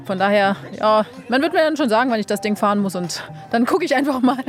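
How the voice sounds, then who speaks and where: A woman's voice: a little high, animated, fast. A young woman, a bobsleigh pilot, answering interview questions frankly and casually, with many fillers. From Germany.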